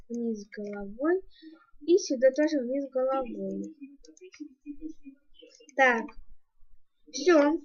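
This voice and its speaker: Casting: high-pitched female gaming YouTuber, casual step-by-step commentary, thinking aloud, dull lo-fi home recording. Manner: thinks aloud, counting and muttering steps. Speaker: YouTuber, gaming channel host. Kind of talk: casual game building tutorial commentary. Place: indoors at home, screen-recording voice-over.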